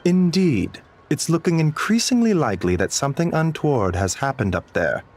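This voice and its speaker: A man voicing a polite, earnest physician in a video game, speaking in a measured, formal and courteous way. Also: fairly high pitch, big pitch swings, a little mellow, a little hiss or hum. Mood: grave concern. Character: polite, earnest male physician with grave concern.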